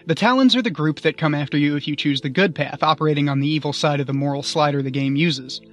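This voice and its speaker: Slightly high-voiced man over music. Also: quick pace. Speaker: guest commentator. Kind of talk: scripted review commentary.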